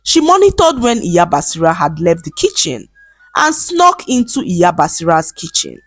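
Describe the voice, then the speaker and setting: A woman narrating a scripted folktale in an expressive, dramatic storytelling manner. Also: on the low side, moderate pace, breathy edge, no echo, soft background music.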